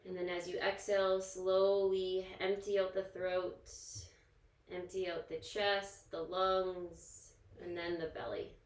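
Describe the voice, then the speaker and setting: A woman yoga instructor leading a guided relaxation in a soothing, gentle, informal manner, leaving pauses between instructions. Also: on the low side, level tone. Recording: echoey, roomy sound.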